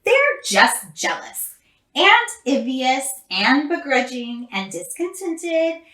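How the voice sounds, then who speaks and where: Woman playing a slow, doting father mouse. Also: high-pitched, big pitch swings, sharp tone, full character voice. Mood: reassuring. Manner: drawn-out, emphatic listing. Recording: roomy home camera recording.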